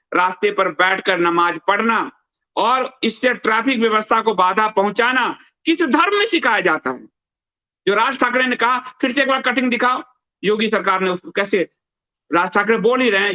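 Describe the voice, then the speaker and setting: Guy doing political news commentary, high sharp voice, really emphatic, kinda muffled lo-fi audio. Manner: emphatic, repeats phrases for stress. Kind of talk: news commentary, reading aloud a political appeal and adding remarks. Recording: dull, lo-fi band-limited sound.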